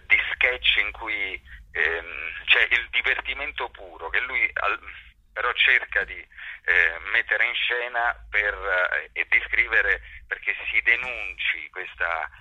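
A man giving explanatory interview answers in a halting, thoughtful way, searching for his phrasing as he goes. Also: on the low side, sharp tone, no room sound, dull audio. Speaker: actor and workshop teacher being interviewed.

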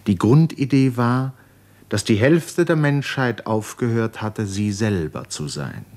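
A man narrating a 1950s literary radio play in a measured, sober manner. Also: mid-range voice, lively intonation, darkish tone.

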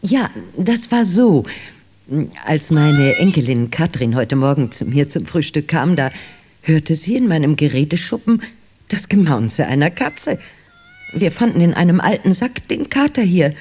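A woman's voice: low-pitched, big pitch swings, a little slow, warm tone, husky, exaggerated voice. An actress playing an elderly grandmother in a German children's radio play, hesitant, stammering and fussily polite. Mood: chatty, polite. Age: older. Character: polite, flustered elderly grandmother returning a lost cat.

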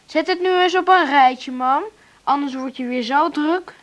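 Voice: sits high, a little slow, fairly bright tone. A performer playing a sensible, bossy young son who is stuck in bed, matter-of-fact and precocious, in a radio play. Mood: sensible, sceptical. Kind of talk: naturalistic radio drama acting.

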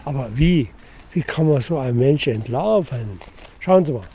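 An excitable man, a local resident, firing off questions in a spontaneous street conversation. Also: medium pitch, normal speed, crowd noise. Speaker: local resident being interviewed. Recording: busy voices in background.

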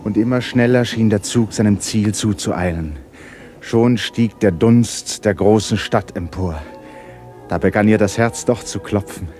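A man narrating a literary radio drama in a solemn, measured storytelling manner. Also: on the low side, darkish tone, soft background music.

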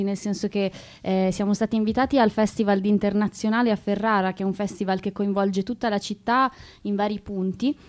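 Woman on a radio talk explaining stuff step by step, medium pitch, articulate. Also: a little mellow. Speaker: theatre performer discussing collective's work.

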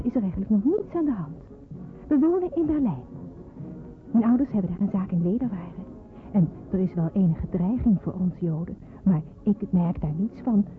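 A woman's voice: mid-range voice, natural voice. Actress as a young woman in hiding, tinny recording. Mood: recollecting. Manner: dramatic, intimate monologue. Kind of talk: radio play acting, first-person narration. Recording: tinny, narrowband old radio recording, faint noise.

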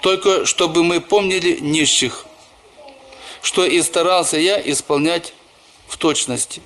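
A man's voice: on the high side, unhurried, a bit bright, a little hiss or hum. A man who is a church pastor, preaching a sermon and telling personal stories, often calling the listeners brothers and sisters.

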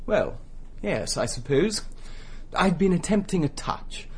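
A man's voice: high-pitched, big pitch swings, takes it slowly, darkish tone, a little hiss or hum, heavily acted. An actor playing a flippant, roguish young upper-class Englishman in a radio drama, breezy and charming.

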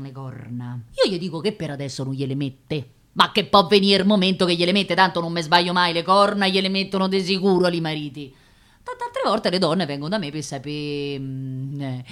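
An actress playing a gossipy, self-assured working-class fortune-teller and concierge in a radio play, with a Roman accent and a colloquial, insistent, rambling delivery. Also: female voice, deep voice, lightly acted. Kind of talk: theatrical monologue in dialect.

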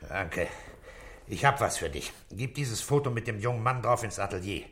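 Calm middle-aged police boss in a radio drama, low voice, short commanding lines. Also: male voice, normal speed, slight background noise. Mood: businesslike. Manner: terse, matter-of-fact, commanding. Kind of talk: German radio play acting.

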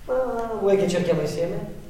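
An actor playing a passive, dreamy unemployed husband who gives short, wry replies in a stage dialogue. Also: male voice, high voice, lively intonation, unhurried, warm tone, slight background noise. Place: theatre stage. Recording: roomy distant stage recording.

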